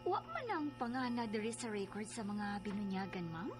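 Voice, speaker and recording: A woman's voice: medium pitch, sing-song, moderate pace, loud music behind the voice, close to an everyday voice. A woman playing a matter-of-fact church records clerk with a Cebuano accent in a radio drama.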